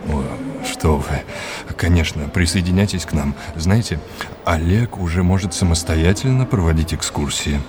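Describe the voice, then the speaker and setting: Deep-voiced, courteous male art lecturer played by an actor. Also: normal speed, noisy background, lightly acted. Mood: polite, curious. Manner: courteous, old-fashioned, didactic. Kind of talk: audio drama acting.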